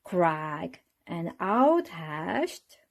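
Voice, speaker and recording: Husky, animated woman reading a children's storybook. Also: lowish voice, very slow. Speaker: storybook reader. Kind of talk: expressive children's read-aloud narration.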